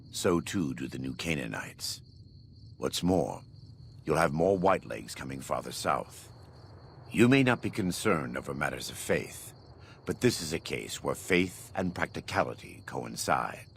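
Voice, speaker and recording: A devout, vengeful former warlord in a video game. His voice is extremely low, with a heavy gravelly creak. He speaks with solemn, measured, preacherly conviction, over faint background noise. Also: very animated, heavily acted. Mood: grave, persuasive. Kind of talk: video game dramatic dialogue.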